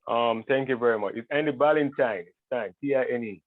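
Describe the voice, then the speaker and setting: A man's voice: medium pitch, brisk, no echo. A young man with a Jamaican accent, a guest artist talking in a video call interview, hesitant with many ums and restarts. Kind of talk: casual interview talk. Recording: tinny phone-like call audio.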